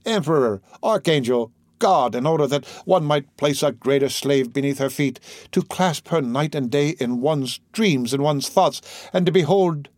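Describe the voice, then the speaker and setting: A man's voice: on the high side, normal speed, a little mellow, no room sound, pitched above the performer's own voice, lightly acted. A man reading the part of an anguished priest desperately pleading his obsessive love, in impassioned, theatrical declamation. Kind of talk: audiobook character reading.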